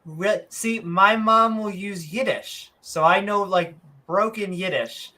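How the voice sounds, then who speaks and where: A woman's voice: mid-range voice, slow pace, pushed and bright. A woman hosting a podcast, chatting casually and cutting in with jokey asides. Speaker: podcast host. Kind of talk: casual interview chat.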